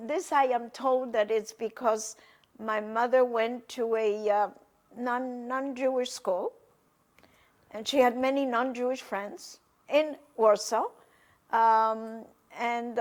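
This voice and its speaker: Slow, reflective older woman, Polish native. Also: fairly high pitch. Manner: slow, hesitant, reflective, many pauses and fillers. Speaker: interviewee, testimony witness. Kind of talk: recorded oral history testimony.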